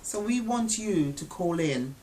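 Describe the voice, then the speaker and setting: A woman presenter speaking in a measured way, rephrasing as she goes and appealing to listeners. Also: fairly low pitch, lively intonation, warm tone, a little hiss or hum, rough recording. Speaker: interviewer and presenter.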